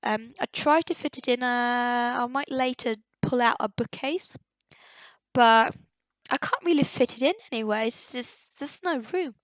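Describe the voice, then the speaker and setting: A young woman giving a casual voice-over commentary on a game build, slightly croaky and hesitant, often searching for her words. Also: a little high, a little slow, no room sound, rough recording.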